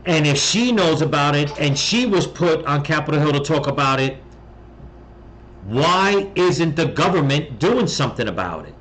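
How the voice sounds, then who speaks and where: A man's voice: fairly high pitch, very animated, normal speed, a bit bright, low-quality recording. An American man giving casual reaction commentary in a blunt, emphatic way. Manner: blunt, emphatic, mildly profane. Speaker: video commentator.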